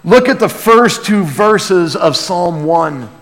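An American man preaching a conversational sermon as a pastor, earnest and emphatic, with hushed asides and rhetorical questions. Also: high-pitched, animated, slow. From United States. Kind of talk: sermon, conversational Bible teaching. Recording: long hall reverb.

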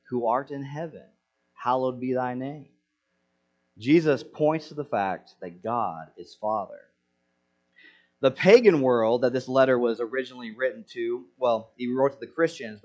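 Man, pastor, sermon, earnest, rhetorical questions, middle pitch, dull lo-fi sound. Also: moderate pace, a little mellow. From Senegal.

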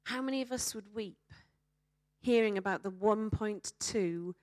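A woman with a Welsh accent preaching a sermon to a congregation, speaking emphatically with rhetorical questions and dramatic pauses. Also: mid-range voice, a little mellow, no echo, studio quality.

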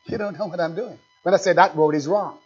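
High-voiced pastor preaching with fire. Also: male voice, breathy edge, faint music behind. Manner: swings from low asides to loud preaching; reads scripture slowly and with emphasis. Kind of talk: fiery sermon. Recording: dull, lo-fi recording.

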